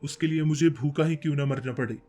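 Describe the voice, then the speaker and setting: A man's voice: fairly high pitch, quick pace, dark tone, faint music behind, natural voice. A man playing a devoted, self-sacrificing middle-aged father in a moral story. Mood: resolute.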